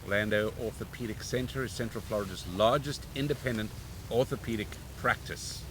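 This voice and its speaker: A man's voice: on the low side, fairly quick. A man with a big voice doing casual live commentary at a rowing regatta, reading sponsor notes between races. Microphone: standing next to a microphone. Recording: broadcast mic with steady outdoor noise.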